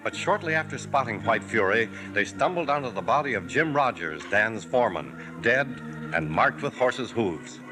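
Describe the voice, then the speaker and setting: Measured narrator over music. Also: male voice, mid-range voice, expressive, poor audio. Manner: measured storytelling. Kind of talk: 1950s radio drama narration. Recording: old radio recording with music under.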